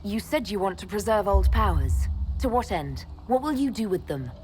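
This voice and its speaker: A woman's voice: mid-range voice, lively intonation, moderate pace, darkish tone, a little hiss or hum, natural voice. An actress playing a skeptical, direct heroine who leads others in a fantasy game, serious and probing in her questions. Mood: challenging. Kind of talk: dramatic scripted game dialogue.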